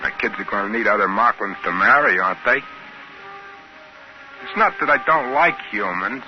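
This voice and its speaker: A man's voice: fairly low pitch, normal speed, faint music behind, lightly acted. An actor playing a plainspoken, wistful spaceman narrating in a homespun, colloquial way, in 1950s radio drama style on an old muffled recording. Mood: wistful, scheming.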